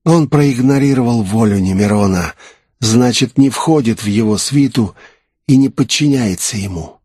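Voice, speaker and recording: A man's voice: medium pitch, moderate pace, mellow, husky, dry recording, clean studio sound, lightly acted. A man voicing an assured, composed naga necromancer who explains his schemes in an audiobook.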